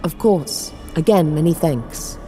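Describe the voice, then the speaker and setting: Woman acting a wry, slow-spoken lady knight. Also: low voice, animated, mellow, soft background music, poor audio. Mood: polite, wry. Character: wry, composed female knight. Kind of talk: fantasy audio drama acting.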